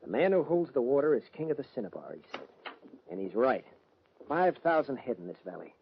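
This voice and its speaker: A man's voice: medium pitch, big pitch swings, brisk, gravelly. An actor playing a stubborn, earnest young cattleman in a radio western, plain-spoken, with an American accent. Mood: determined. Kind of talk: old-time radio western acting. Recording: dull, noisy vintage radio recording.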